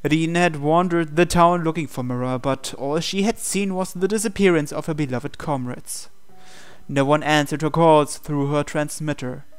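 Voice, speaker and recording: A man narrates a visual novel playthrough, reading the script aloud. His voice is clean and smooth and sits slightly higher than most men's. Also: normal speed, faint music behind. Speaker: playthrough host reading game text.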